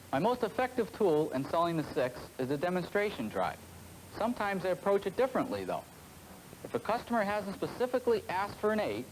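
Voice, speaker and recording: Higher-voiced salesman, lively pitch. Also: low-quality recording. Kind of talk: scripted testimonial. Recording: 1970s film soundtrack with faint hiss.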